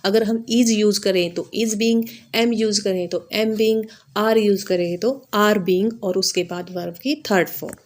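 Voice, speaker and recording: Indian lady teacher explaining grammar rules, medium pitch, goes over stuff again and checks you got it. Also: fairly even intonation, slow pace, hardly any pauses, darkish tone. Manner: instructional, repetitive, checking understanding with short tags. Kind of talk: explanatory grammar lesson, step by step.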